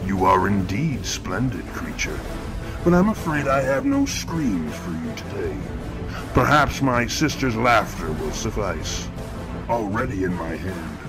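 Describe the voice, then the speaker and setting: A grim, elegant male killer narrates in a very deep voice. His delivery is measured, florid and theatrical, horror audio fiction with prominent music underneath. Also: rough recording.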